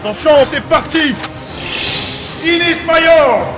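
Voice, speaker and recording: A man's voice: very high voice, animated, slow pace, heavily acted. A man playing a cautious, anxious mage with a polite, exclamatory manner in a fantasy audio drama. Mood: urgent warning. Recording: echoey, loud music behind.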